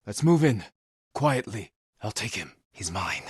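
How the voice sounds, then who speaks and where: Low, husky, grave samurai warrior. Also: male voice, sing-song, no room sound, studio quality, exaggerated voice. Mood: grave, resolute. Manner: measured, earnest, restrained delivery. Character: stoic, honorable samurai warrior, grave and determined. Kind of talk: scripted video game voice acting.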